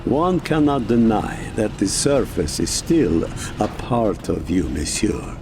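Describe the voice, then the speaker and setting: An actor playing a loyal, courteous manservant in an audio drama, with a polite, earnest, measured way of questioning and a creaky voice. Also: male voice, lowish voice, very animated, background noise, full character voice. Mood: puzzled, respectful.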